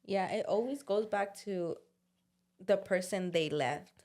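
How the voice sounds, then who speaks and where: A woman's voice: medium pitch, unhurried, darkish tone. Young woman, podcast co-host; casual slangy chat, confessional, quotes her own inner voice.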